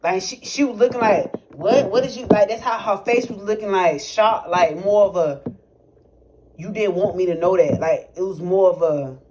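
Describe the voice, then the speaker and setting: A man's voice: high-pitched, normal speed. A young man speaking tells a personal testimony in casual, rambling, profane talk, full of fillers and restarts. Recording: noisy recording with clicks.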